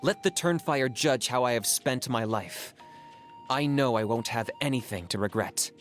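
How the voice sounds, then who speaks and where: An actor playing a calm, pragmatic young hunter-for-hire in a video game, speaking in a matter-of-fact, deadpan way. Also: male voice, mid-range voice, normal speed, soft background music, natural voice. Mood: calm resolve. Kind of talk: video game character voice lines.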